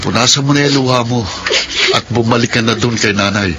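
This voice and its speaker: A man's voice: mid-range voice, fairly quick, background noise, close to an everyday voice. A man with a Filipino accent acting a struggling, proud young breadwinner and protective big brother in a radio drama. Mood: reassuring, tender. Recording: music bed behind the voice.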